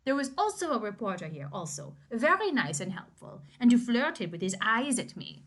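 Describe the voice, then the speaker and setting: A woman co-hosting a casual podcast, chatting with playful giggles and reading a book aloud with lively emphasis. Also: on the high side, moderate pace.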